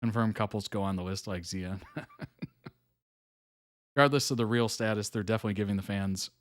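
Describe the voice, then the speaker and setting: A man's voice: on the low side, a little fast, dry recording, studio quality. A man hosting a live reaction stream, talking casually and chuckling often between comments. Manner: laid-back, chuckles often, trails off with fillers. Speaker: reaction video host.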